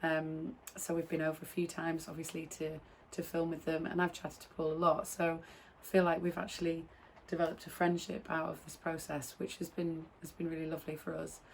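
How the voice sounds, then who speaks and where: A woman filmmaker who is losing her voice and sounds croaky, casually introducing a film with friendly, halting speech. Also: deep voice, completely flat delivery, slight background noise, low-quality recording.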